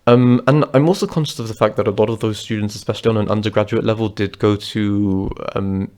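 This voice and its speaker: A man's voice: fairly low pitch, fairly even intonation, quick pace, a little mellow. A man, telling personal stories to camera in a rambling, chatty way. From Britain. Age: 20s. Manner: rambling, chatty, loose and conversational. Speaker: vlogger. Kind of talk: casual personal storytelling to camera.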